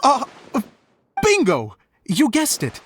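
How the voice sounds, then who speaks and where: Sentimental, animated theater director. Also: male voice, very high voice, takes it slowly, husky, soft background music, exaggerated voice. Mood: playful. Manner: heartfelt, theatrical. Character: sentimental, theatrical male show director, gentle and grateful. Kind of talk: English anime game voice acting.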